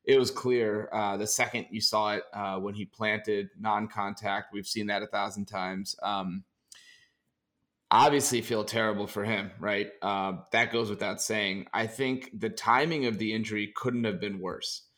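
A young man co-hosting a casual sports podcast, hoarse and raspy from a sinus infection, talking in a relaxed, jokey way. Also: fairly low pitch, a little slow. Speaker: co-host.

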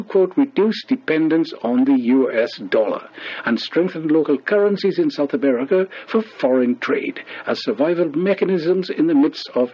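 A man reads a scripted news bulletin on the radio in a mellow voice with a husky edge. His pitch sits in the middle of the male range. The sound is dull and lo-fi, like a shortwave broadcast.

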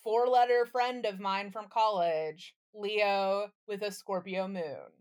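A woman's voice: medium pitch, steady tone, takes it slowly. A woman co-hosting a casual comedy podcast, talking in a blunt, sardonic and sweary way. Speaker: podcast co-host.

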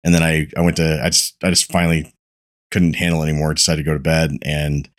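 A man's voice: a bass voice, completely flat delivery, fast. A man hosting a casual podcast, congested and starting to lose his voice, rambling and swearing freely. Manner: rambling, profane, laid-back. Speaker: podcast host.

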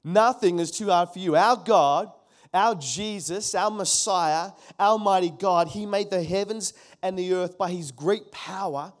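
A man's voice: sits high, a little slow. A middle-aged man preaching a sermon in an emphatic way, repeating his key phrases and calling on the congregation to answer. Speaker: pastor.

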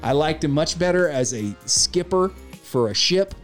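A man's voice: fairly high pitch, normal speed, soft background music. A man co-hosting a casual podcast, giving a rambling, opinionated movie review. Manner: opinionated, rambling, animated retelling. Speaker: podcast co-host.

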